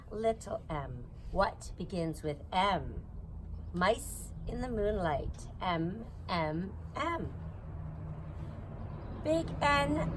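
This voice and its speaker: A woman hosting a children's storytime, reading a picture book aloud outdoors in a sing-song, repetitive way. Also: medium pitch. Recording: noisy outdoor ambience.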